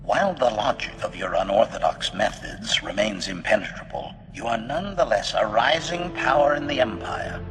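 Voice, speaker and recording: A man's voice: on the low side, expressive, normal speed, few pauses, in a large hall. A man voicing a servile, smugly precise, gleefully murderous assassin droid in a video game, speaking in formal, clipped announcements through a robotic filter. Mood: gleeful, devoted. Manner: formal, clipped, announces each statement with a label word. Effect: metallic robot voice filter. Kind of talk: video game character dialogue.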